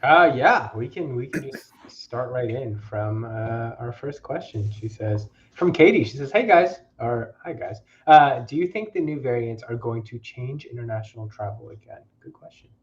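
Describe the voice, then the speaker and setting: A man's voice: mid-range voice, lively intonation, moderate pace, few pauses, slight background noise. A man co-hosting a casual livestream, reading viewer comments aloud in an easygoing, agreeable way. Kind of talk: casual chat. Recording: slightly dull remote audio.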